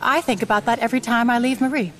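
An actress playing a steady, dry-witted adventurous mother in an audio drama. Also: fairly high pitch, fast, lightly acted. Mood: reflective. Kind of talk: full-cast audio drama acting.

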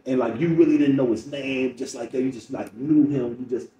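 A man's voice: mid-range voice, fairly quick, mellow, muffled recording. A middle-aged American man from Chicago, a comedian telling stories conversationally as an interview guest, replaying dialogue as he goes. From Chicago, United States. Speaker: stand-up comedian, podcast guest. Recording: roomy, distant mic, faint noise.

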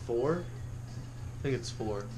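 A man's voice: mid-range voice, animated, slow, noisy background. Young man chatting over buzzing clippers. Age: young adult. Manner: joking, asks fan questions. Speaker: vlog host. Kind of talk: casual vlog chat. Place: small home bathroom.

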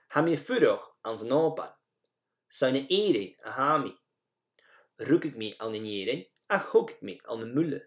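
A man presenting a language lesson in an informal, instructional manner, guiding learners through slides and examples. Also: medium pitch.

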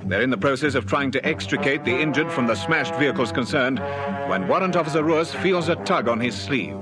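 An actor as the narrator of an old police radio series, reading in a formal, report-like way. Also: male voice, mid-range voice, expressive, brisk, natural voice. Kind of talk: scripted radio drama narration. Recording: vintage radio broadcast with music behind.

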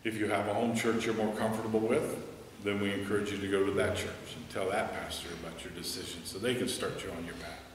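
A middle-aged American man, a pastor preaching earnestly from a church pulpit, then leading a hushed prayer. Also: fairly low pitch, level tone, fast talker, slight background noise. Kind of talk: sermon and altar call. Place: church sanctuary, standing at a pulpit. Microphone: headset mic. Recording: echoey church hall, from a distance.

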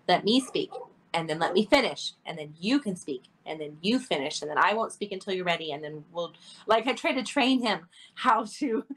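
A woman's voice: mid-range voice, fairly bright tone, low-quality recording. A woman in her forties co-hosting a podcast over a video call, talking earnestly and laughing often as she tells stories. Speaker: podcast co-host. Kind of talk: casual conversational chat. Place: home room, video call.

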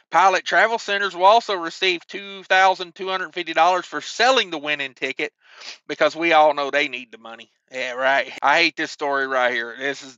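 A man's voice: high-pitched, bright, forceful tone, no room sound. A man in his thirties hosting a trucker news show on a headset mic, reading stories with wry grumbling asides. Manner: reads headlines, then drops into wry grumbling asides. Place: small room, indoors. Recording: dry, slightly dull headset audio.

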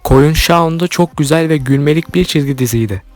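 Higher-pitched man narrating commentary over music. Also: a little fast. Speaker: YouTube host commenting on cartoons. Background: background music.

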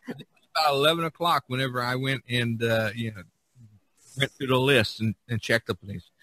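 A man's voice: mid-range voice, steady tone, normal speed, bright, forceful tone, dry recording, rough recording. A man hosting a podcast, chatting casually and reading out figures in a folksy, rambling way, often repeating himself. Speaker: podcast host.

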